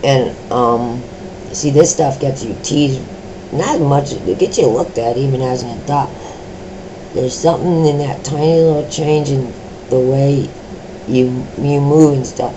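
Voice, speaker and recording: Deep-voiced woman talking slowly, haltingly. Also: noisy background. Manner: slow, halting talk with many fillers and restarts. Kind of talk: personal vlog monologue.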